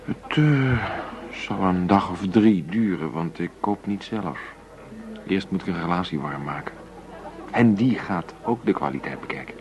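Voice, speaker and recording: An actor in a radio drama playing a cocky, short-tempered underworld go-between with a blunt, streetwise way of talking. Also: male voice, low voice, expressive, unhurried, a little mellow, rough recording. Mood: cocky, businesslike. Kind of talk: Dutch radio drama dialogue.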